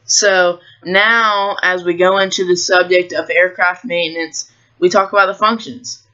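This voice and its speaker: American teen student presenter, bright even voice. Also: mid-range voice, unhurried, clean tone, lo-fi sound. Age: teenager. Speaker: high school student presenter. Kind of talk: school presentation, explanatory narration.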